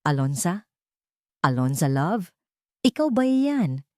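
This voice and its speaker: A woman's voice: sits low, sing-song, slow pace, a little mellow, no echo, full character voice. Audio drama role: manipulative husband read by a woman, desperate, wheedling and blustering, dry close recording. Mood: pleading. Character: desperate, manipulative, cheating husband, adult man.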